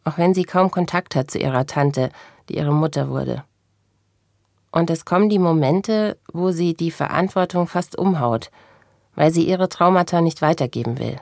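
A woman with a very deep voice and a gravelly edge acts as interviewer and narrator. She asks her questions in a calm, measured, gentle way.

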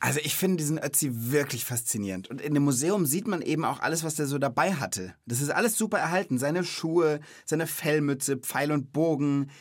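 A man's voice: on the high side, animated, fairly quick, few pauses, dry recording, studio quality. A man hosting a children's knowledge podcast, chatting and explaining in a casual, enthusiastic, colloquial way.

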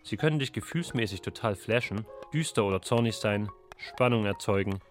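A low-pitched man narrates a radio culture feature from a studio. He reads a script in a reflective, questioning way. A clear music bed plays under his voice throughout. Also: a little fast. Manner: reflective, poses rhetorical questions.

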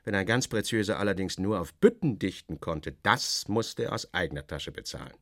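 Guy reading a literary story, wry and clipped, super lively pitch, dry with no room echo. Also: fairly quick. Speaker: narrator. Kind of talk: scripted first-person literary narration.

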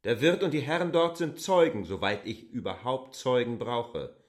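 An actor playing a stubborn, self-assured traveling land surveyor in a radio drama, speaking formally and firmly. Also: male voice, medium pitch, expressive, moderate pace, close to an everyday voice. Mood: indignant. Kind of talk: German radio drama acting. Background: mallet percussion music.

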